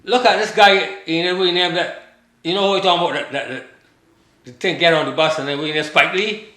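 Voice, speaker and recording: A man's voice: fairly high pitch, brisk, pushed and bright. Older man from Barbados, very echoey. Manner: emphatic, repeats himself, trails off mid-thought. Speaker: guest, author. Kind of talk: rambling conversational storytelling. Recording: very echoey room.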